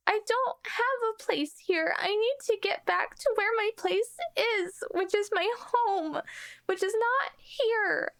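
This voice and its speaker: A woman voicing a stubborn, homesick little girl in a tabletop game, pleading and halting. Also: extremely high pitch, a bit bright, heavily acted.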